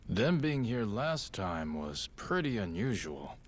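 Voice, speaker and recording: A man playing a frank, weary middle-aged yakuza boss in a video game, talking in gruff, matter-of-fact slang. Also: lowish voice, big pitch swings, takes it slowly, exaggerated voice. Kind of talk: video game cutscene acting. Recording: loud music behind the voice.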